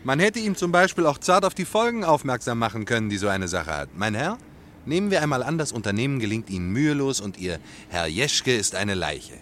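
A man's voice: medium pitch, very animated, a little fast, fairly bright tone. A man acting in a German radio play as a talkative, ironic stage actor in a pub, with a wry, theatrical, mock-courteous manner. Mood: mocking lecture. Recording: faintly noisy radio drama recording.

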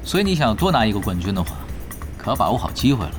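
A man's voice: lowish voice, sing-song, racing. An actor playing a cocky, laid-back veteran male pro gamer in a Chinese audio drama, casual and taunting. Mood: confident, nonchalant. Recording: background music under the voice.

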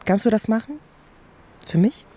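An actress playing a secretive lover in a radio drama, speaking in a coaxing, intimate way. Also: female voice, fairly high pitch, lively intonation, normal speed, warm tone, slightly rough. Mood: pleading. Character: soft, coaxing, secretive woman, the reporter's lover.

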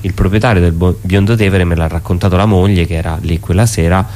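A man talks as an interviewed theatre performer. His voice is very deep, and he thinks aloud in a reflective, hesitant way, often repeating phrases. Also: fairly even intonation, fast, darkish tone, a little hiss or hum. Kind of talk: casual reflective conversation.

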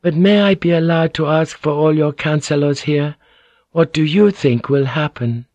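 A man voicing a poised noblewoman who speaks politely and with measured deference. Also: fairly high pitch, a little mellow, dry recording, voice pushed up, lightly acted. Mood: deferential. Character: poised noblewoman at a war council.

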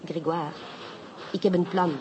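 An actress playing an intuitive, self-assured inspector's wife in a radio play, theatrical, insistent and playful. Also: female voice, lowish voice, steady tone, measured pace, darkish tone, a little hiss or hum, natural voice.

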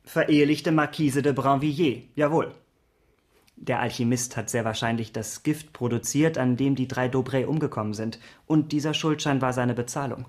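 A man's voice: medium pitch, normal speed, darkish tone, close to an everyday voice. A man playing a dogged, correct police investigator in a radio play, speaking precisely, formally and with cool insistence. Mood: dry insistence. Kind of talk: German radio play acting.

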